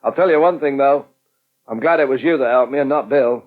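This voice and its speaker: A man's voice: mid-range voice, brisk, lightly acted. An actor playing a grumpy, sarcastic, impatient British man in a 1980 radio soap. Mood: dry. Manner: grumbling, sarcastic. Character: grumpy, sarcastic male wheelchair user, dependent and impatient. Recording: dull 1980 radio recording.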